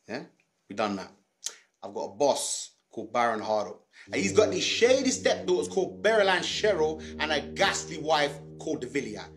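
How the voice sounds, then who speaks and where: A man's voice: fairly high pitch, a bit bright. A man playing a chummy, streetwise pantomime servant, chatty and slangy. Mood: bouncy.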